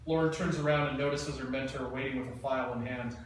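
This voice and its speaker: Brief: male narrator, flat direction reading, faint room noise. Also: mid-range voice, fast. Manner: plain, matter-of-fact. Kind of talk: reading screenplay stage directions. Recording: echoey room, mic far off, faint noise.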